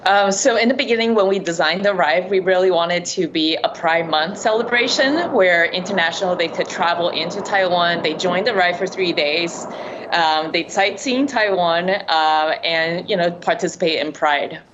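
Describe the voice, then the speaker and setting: A woman's voice: on the low side, normal speed. A woman explaining plainly as an interview guest, with a few fillers. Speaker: guest, bike ride co-founder. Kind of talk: remote interview. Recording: dull audio with clear background noise.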